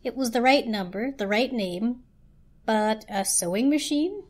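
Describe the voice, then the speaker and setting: A woman's voice: on the high side, lively intonation, a little slow, a little mellow. A woman narrating a children's story as a dramatic reading.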